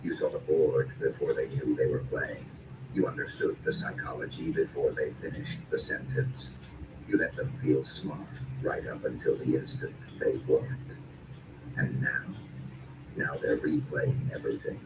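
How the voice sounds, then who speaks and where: A man performing dark, dramatic spoken-word narration in short, deliberate and menacing phrases, as a narrator. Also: rumbling low, steady tone, reverberant. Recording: distant, noisy lo-fi recording.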